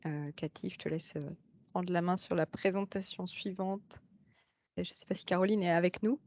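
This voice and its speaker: Woman moderator, lowish voice, brisk, muffled call audio. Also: fairly even intonation, a little mellow. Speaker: webinar moderator. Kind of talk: moderating a webinar. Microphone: video call. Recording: dull lo-fi video call audio.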